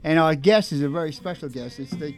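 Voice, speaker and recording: A man's voice: fairly high pitch, fast, soft background music. Effusive man hosting religious radio. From Brooklyn, New York. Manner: effusive, gushing. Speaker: radio show co-host.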